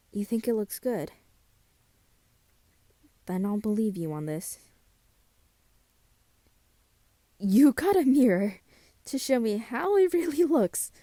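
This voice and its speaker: A woman playing a self-doubting friend in a scripted roleplay, speaking softly and hesitantly at an unhurried pace. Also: fairly high pitch, no echo. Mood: shy, reassured. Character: soft-spoken, self-doubting friend carving Halloween pumpkins.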